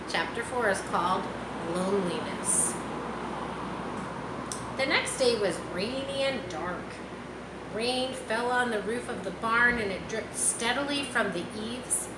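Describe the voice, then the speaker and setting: Female storybook reader, higher-pitched, very measured pace, talks to the listener, roomy recording. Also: expressive. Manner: unhurried, explanatory, talking directly to listeners. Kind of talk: read-aloud children's story with friendly asides. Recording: roomy echo, loud vehicle-like background noise.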